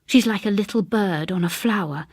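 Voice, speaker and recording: Woman doing a gloomy husband, spooked and brooding, husky, ghost story audiobook. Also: mid-range voice, moderate pace, a little mellow, dry recording, clean studio sound, natural voice. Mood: uneasy, brooding. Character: gloomy, uneasy husband haunted by a shadow.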